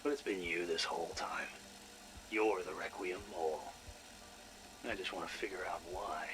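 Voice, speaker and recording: An actor playing an irritable, sarcastic, foul-mouthed scientist in a video game audio log. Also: male voice, deep voice, fairly even intonation, normal speed, noisy background, close to an everyday voice. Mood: sly, conspiratorial. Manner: sarcastic, exasperated, profane.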